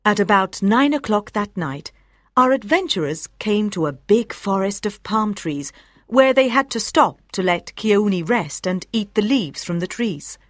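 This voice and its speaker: A woman's voice: mid-range voice, lively intonation, a little slow, dry recording, clean studio sound. A woman reading scripted story narration for language learners as an audiobook narrator.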